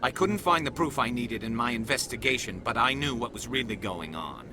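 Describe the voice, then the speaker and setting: A man's voice: medium pitch, lively intonation, brisk, natural voice. A man voicing a determined, earnest alien police investigator in a video game, speaking intently over ambient noise. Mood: intent, resolute.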